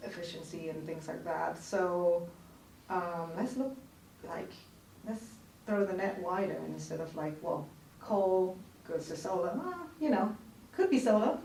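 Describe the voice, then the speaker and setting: A woman's voice: on the low side, expressive, unhurried, creaky, dark tone, far from the mic. Woman chatting in a roomy studio. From Thailand. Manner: chatty, many fillers and "you know". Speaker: resident guest. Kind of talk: casual conversation. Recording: roomy studio heard from off-mic, faint noise.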